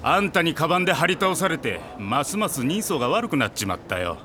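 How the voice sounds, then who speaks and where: A weary police detective grumbles and scolds. His voice sits a little high for a man and swings widely with sarcasm. It is anime-style audio drama acting over clear background music. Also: very animated, a little fast. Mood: sour, sarcastic. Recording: studio drama with music underneath.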